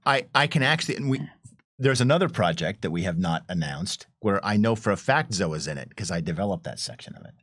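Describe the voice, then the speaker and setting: Animated man chatting, pitch moving freely. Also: fast talker, no room sound, clean studio sound. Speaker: game developer on a livestream panel. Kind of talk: casual, unscripted panel chat.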